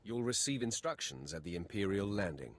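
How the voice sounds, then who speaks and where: An actor playing a cold, ruthless Sith warrior in a video game, speaking in a curt, commanding, threatening way. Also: male voice, on the low side, big pitch swings, a little fast. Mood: stern. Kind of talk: cinematic video game acting.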